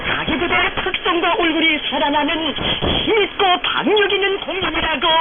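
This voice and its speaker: A woman announcer with a North Korean accent delivers scripted state news with fervent reverence. Her high voice rises and falls in sweeping, declamatory phrases. It comes through a very noisy shortwave broadcast. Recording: noisy shortwave radio, narrow phone-like band.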